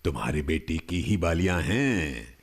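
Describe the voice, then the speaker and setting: An actor playing a benevolent, pious elderly village grandfather in a Hindi radio drama, speaking in a measured, blessing manner. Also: very deep voice, expressive, husky, heavily acted. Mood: paternal, earnest. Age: older. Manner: slow, measured, blessing-giving.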